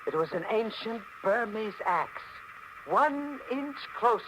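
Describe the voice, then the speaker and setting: A man's voice: high-pitched, animated, takes it slowly. Noisy old radio recording, tough American man, wary, terse, swooping dramatic delivery, 1940s mystery narrator. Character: hard-boiled, wary American man haunted by an idol.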